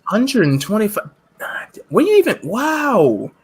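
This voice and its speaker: A man's voice: high voice, wildly swooping pitch, measured pace, crowd noise. A man in his thirties co-hosting a casual live-stream podcast from home, laughing often and reading the chat aloud. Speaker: podcast co-host. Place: home room, on video call.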